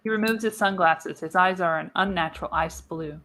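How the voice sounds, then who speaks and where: A woman's voice: on the low side, fairly quick, bright, forceful tone. A woman narrating a radio play script, reading stage directions with dramatic delivery. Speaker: narrator, show host.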